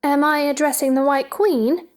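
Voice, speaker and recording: A woman's voice: high voice, steady tone, moderate pace, lightly acted. A woman playing a polite, puzzled, sensible young girl in a theatrical children's storybook drama, earnest and questioning.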